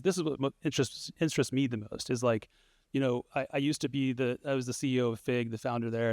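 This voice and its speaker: A man speaking on a conference stage in a middle-pitched, everyday voice. He talks loosely and conversationally, restarting sentences and filling gaps with ums. The sound is close and dry, with no room echo. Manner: conversational, many fillers and restarts. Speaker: panelist, studio founder. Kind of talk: conference panel answer.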